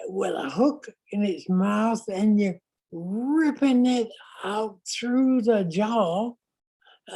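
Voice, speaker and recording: A woman playing an earnest small-town humane society spokesperson in a comic stage monologue, speaking deliberately with emphatic pauses. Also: medium pitch, warm tone, exaggerated voice, poor audio.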